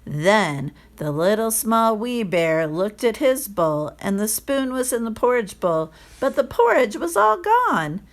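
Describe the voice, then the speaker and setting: A woman reading a children's story aloud in a careful, expressive narrating style. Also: fairly high pitch, a little slow, hardly any pauses. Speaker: storybook reader.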